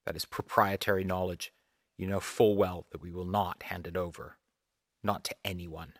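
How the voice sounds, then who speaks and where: Holographic executive played by a male narrator: deep, dry, clipped and coldly formal, seething behind a frozen smile. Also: steady tone, moderate pace, no echo. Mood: seething, controlled.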